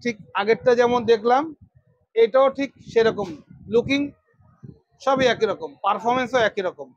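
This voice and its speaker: A Bangladeshi man gives a product demonstration in a notably high voice. He addresses viewers directly and repeats phrases to press his points. The sound is dry and somewhat dull. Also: measured pace, no echo. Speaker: electronics shop presenter. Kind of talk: product demonstration sales pitch.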